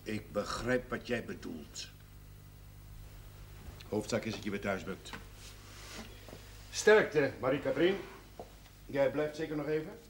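An actor playing a stern, moralising small-town businessman of middle age in a television period drama, forceful and admonishing. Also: medium pitch, slow pace, pauses often. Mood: gruff. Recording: faint noise, old television soundtrack.

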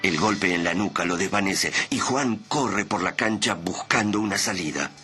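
A man narrating a radio play in a solemn, measured, dramatic style. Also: sits low. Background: dramatic music.

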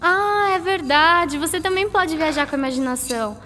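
A woman's voice: very high voice, normal speed, bright, forceful tone, long reverb tail, slight background noise. A woman playing a curious, imaginative young girl, friendly and chatty, with a Brazilian accent, in a radio drama.

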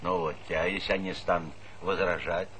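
A bustling, bright-voiced farm chairman. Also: low-pitched, little pitch movement, normal speed. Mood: gentle, fatherly. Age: middle-aged. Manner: insistent, haggling, hesitating mid-phrase. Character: bustling, wheedling male collective farm chairman, hearty and fatherly. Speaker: actor. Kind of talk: Soviet satirical stage comedy acting. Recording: noisy old 1970s theatre recording.

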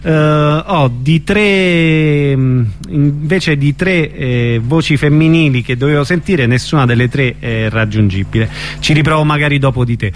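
A man's voice: fairly high pitch, measured pace. A man hosting a casual radio chat, hesitant and often repeating himself. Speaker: radio host.

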